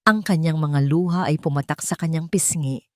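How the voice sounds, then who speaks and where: A woman's voice: low voice, moderate pace, darkish tone, dry recording, clean studio sound. A woman reading scripted dramatic narration as the narrator of a love story.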